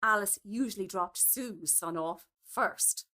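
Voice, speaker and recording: A woman reading a literary short story aloud as an expressive, scripted narration. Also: medium pitch, slow pace. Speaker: story reader.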